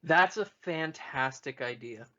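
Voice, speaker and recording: A man's voice: medium pitch, unhurried, pushed and bright, dull audio. A man co-hosting a casual podcast chat in a jokey, easily amused manner. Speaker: podcast co-host.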